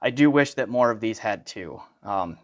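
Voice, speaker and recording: A man's voice: lowish voice, expressive, moderate pace. An American man giving a casual hands-on product review, rambling a little and correcting himself as he goes. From United States.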